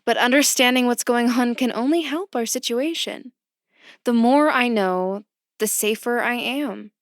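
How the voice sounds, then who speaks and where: A woman's voice: fairly high pitch, unhurried, no echo, clean studio sound, close to an everyday voice. An actress playing a determined, inquisitive woman in an audio drama, reasoning insistently. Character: determined, inquisitive woman stubbornly after answers.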